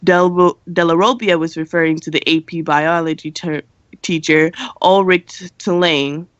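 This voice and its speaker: A woman acts out a prim student news host who cares about the rules. Her voice sits fairly low, with measured, scripted radio-play delivery. The sound is dry and a little muffled. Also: fairly even intonation, dry recording, lightly acted. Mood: formal newscasting.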